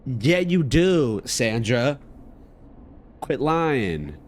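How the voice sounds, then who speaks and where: A man's voice: mid-range voice, very animated, extremely slow. A man giving casual playthrough commentary on a game, slangy and joking, often muttering to himself. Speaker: gamer reacting to a game.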